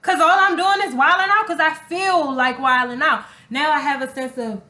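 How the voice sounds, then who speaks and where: A woman's voice: sits high, fairly even intonation, moderate pace, pushed and bright. A young woman co-hosting a podcast, talking casually and candidly in an emphatic, slangy way with plenty of swearing. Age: young adult. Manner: emphatic, slangy, profanity-laced. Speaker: podcast co-host. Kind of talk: casual, candid relationship talk. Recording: echoey room.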